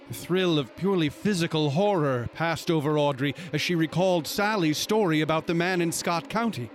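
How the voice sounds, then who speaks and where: Actor as a grave, higher-voiced male storyteller. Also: natural voice. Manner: measured, ornate, ominous. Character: grave storyteller recounting a grisly tragedy. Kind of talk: dramatic scripted narration. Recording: music behind.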